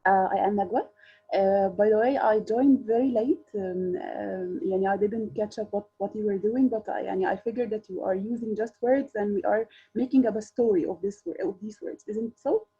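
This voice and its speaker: A woman's voice: mid-range voice, normal speed, breathy edge. A woman whose first language is Arabic, joining a workshop by video call and speaking English hesitantly, with many fillers and restarts. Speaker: workshop participant. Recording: dull lo-fi call audio.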